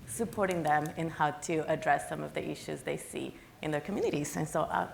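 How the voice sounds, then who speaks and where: A woman's voice: deep voice, fairly quick, vocal fry, roomy sound, a little hiss or hum, low-quality recording. A woman from Guatemala greeting the crowd cheerfully and introducing herself on a stage panel. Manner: upbeat, audience-engaging. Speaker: panelist, high school teacher. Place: stage in a museum hall.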